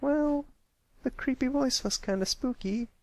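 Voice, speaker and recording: A man voicing a sweet, excitable and slightly timid little pony filly in a storybook reading. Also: very high voice, a little slow, no echo, pitched above the performer's own voice. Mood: hesitant.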